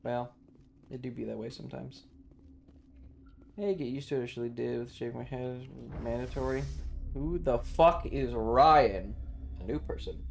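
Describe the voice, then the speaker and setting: Gravelly-voiced man streaming a game. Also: mid-range voice, normal speed, pauses often, slight background noise. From Southern United States. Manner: profane, slangy, jokey. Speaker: livestreamer. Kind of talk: casual game stream chat and commentary.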